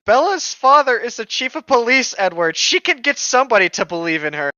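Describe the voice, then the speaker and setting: A woman's voice: fairly high pitch, sing-song, brisk, pushed and bright, dry recording, muffled recording. A woman co-hosting a casual read-aloud podcast, mocking the book with sarcastic, irreverent commentary and plenty of swearing. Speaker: podcast co-host reading a novel aloud with commentary.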